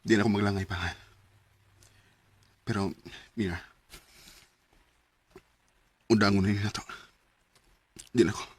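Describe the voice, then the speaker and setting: An actor with a Cebuano Filipino accent playing an earnest, apologetic young boyfriend in a radio drama, speaking hesitantly. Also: male voice, fairly low pitch, steady tone, measured pace, long pauses, breathy edge. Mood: conflicted, sorrowful. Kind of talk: Bisaya radio drama acting.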